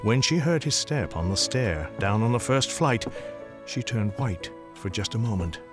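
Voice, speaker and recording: Animated male storyteller over music. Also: on the low side, measured pace. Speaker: narrator. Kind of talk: theatrical scripted story narration.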